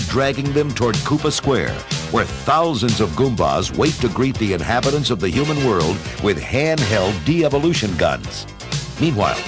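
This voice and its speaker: A man narrating an audio drama from a script, with expressive, dramatic storytelling delivery. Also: on the low side.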